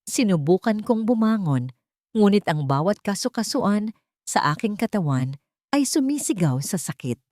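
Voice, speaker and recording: A woman's voice: mid-range voice, very animated, moderate pace, darkish tone, no room sound, clean studio sound. A woman reading a dramatic first-person story as a narrator, in a solemn, emotive storytelling manner.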